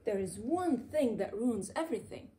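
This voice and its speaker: A woman reading a children's storybook aloud in an expressive, dramatic way with playful emphasis. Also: a little high, moderate pace. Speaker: children's author reading her own book aloud. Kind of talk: animated storybook read-aloud.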